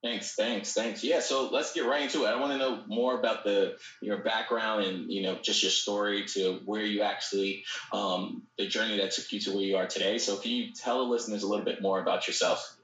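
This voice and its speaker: A man's voice: lowish voice, fairly even intonation, fairly quick, fairly bright tone. A man co-hosting a podcast, enthusiastically introducing a guest and asking about his background. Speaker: podcast co-host. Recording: echoey, distant, faint hum.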